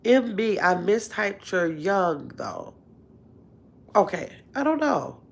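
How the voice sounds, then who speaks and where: A woman in her fifties hosts a casual livestream from her bedroom, talking into a phone held close at arm's length. She reads viewer comments aloud and answers them, with animated exclamations and long, drawn-out words. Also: medium pitch, vocal fry. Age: 50s. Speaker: livestream host. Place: bedroom at home, indoors.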